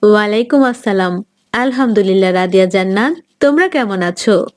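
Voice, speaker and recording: A woman's voice: medium pitch, animated, moderate pace, no echo, studio quality, lightly acted. A woman playing a patient, loving mother of a poor family in a children's cartoon. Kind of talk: children's moral cartoon voice acting.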